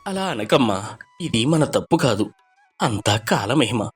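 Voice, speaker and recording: A man playing a gentle, dutiful village son and brother in a dramatized moral story, earnest and pleading. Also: mid-range voice, sing-song, unhurried, husky, soft background music. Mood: consoling, resigned.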